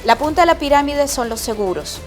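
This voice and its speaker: A middle-aged woman from Colombia, an epidemiologist answering interview questions in a measured, explanatory way. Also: high voice, expressive, music in the background. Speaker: epidemiologist guest.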